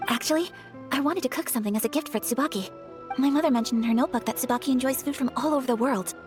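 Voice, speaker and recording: A woman playing a polite, refined young noblewoman in a video game, gentle, earnest and formally courteous. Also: high-pitched, very fast, over music, natural voice.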